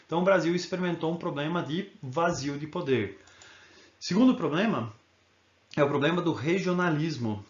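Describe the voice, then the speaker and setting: A man's voice: fairly high pitch, echoey room, lo-fi sound. A male history teacher giving a recorded video lesson, explaining in an informal way and often checking in with tag questions.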